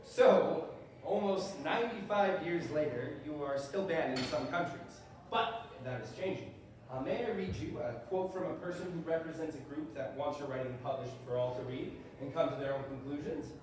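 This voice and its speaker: A man on stage playing a principled defense attorney with a measured, rhetorical courtroom delivery. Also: a little high, natural voice. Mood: probing. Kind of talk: live stage readers theater. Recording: distant mic, echoey room, faint noise.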